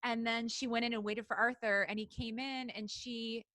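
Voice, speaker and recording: A woman's voice: on the high side, steady tone, normal speed, bright, forceful tone, no room sound. A woman co-hosting a casual, comedic podcast chat, giggly and a little hesitant as she talks. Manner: giggly, hesitant when recapping. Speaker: podcast co-host. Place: recorded remotely over a video call.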